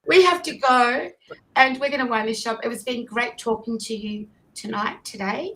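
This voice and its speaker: A woman hosting a casual live-stream chat, talking in a chatty, apologetic way and stumbling over her words, with her voice going hoarse. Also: fairly high pitch, normal speed, bright, forceful tone, low-quality recording. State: losing her voice. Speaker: host.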